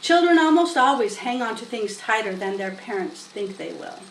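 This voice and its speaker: A woman reading a children's story aloud in an expressive, unhurried way with dramatic emphasis. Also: fairly high pitch, gravelly. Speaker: storybook reader. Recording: roomy, distant mic with faint noise.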